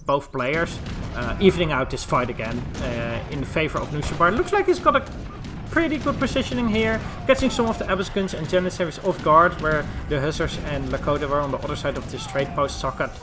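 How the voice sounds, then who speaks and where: A man's voice: fairly high pitch, fairly quick, background noise. A Dutch man with a Dutch accent doing solo play-by-play commentary of a strategy game, with frequent ums and restarts. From Netherlands. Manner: many fillers and restarts, chats with the live chat. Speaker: esports caster.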